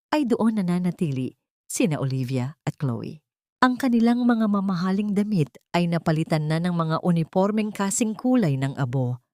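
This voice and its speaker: A woman with a Filipino accent narrating a scripted dramatic story in a solemn, sentimental, measured way. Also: on the low side, mellow, dry recording, clean studio sound.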